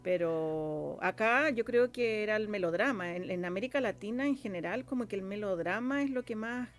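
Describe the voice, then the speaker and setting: A woman's voice: medium pitch, normal speed, faint music behind. A woman with a Chilean accent speaking as a guest in a casual interview, explaining hesitantly and repeating phrases for emphasis. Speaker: guest, radio drama scriptwriter.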